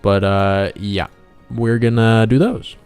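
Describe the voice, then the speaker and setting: A man's voice: lowish voice, steady tone, slow, darkish tone, clean tone. A man hosting a casual livestream as he draws, rambling to viewers and muttering asides to himself. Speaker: livestream host, game developer and artist. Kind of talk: casual livestream chat while drawing. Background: background music.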